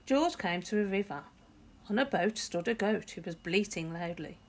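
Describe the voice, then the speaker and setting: A woman narrating a picture book for young children in an expressive teacher's manner. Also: mid-range voice, moderate pace. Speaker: primary school teacher.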